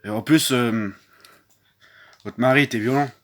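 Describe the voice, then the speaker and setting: A man's voice: mid-range voice, unhurried, a bit bright. A man hosting a mock newscast, stumbling through constant restarts and fillers. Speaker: mock news anchor. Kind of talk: improvised parody newscast.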